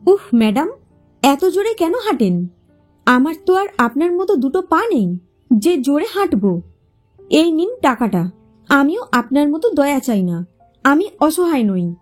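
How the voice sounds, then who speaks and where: A woman's voice: high voice, big pitch swings, measured pace, long pauses, faint music behind. A woman voicing an easygoing, teasing young man with a wistful streak in an audio short story, speaking casually and cheekily. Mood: exasperated.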